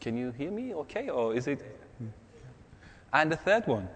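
A man's voice: fairly high pitch, big pitch swings, normal speed, a bit bright, husky. Male pastor in a reverberant hall. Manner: informal, quizzing the listeners. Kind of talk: conversational sermon to a congregation. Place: large reverberant church hall.

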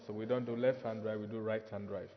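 A man's voice: on the low side, little pitch movement, fast. A man in his forties with a Ghanaian accent, preaching a sermon in a friendly, conversational, self-deprecating way. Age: 40s. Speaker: pastor. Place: church hall. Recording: dull reverberant church hall.

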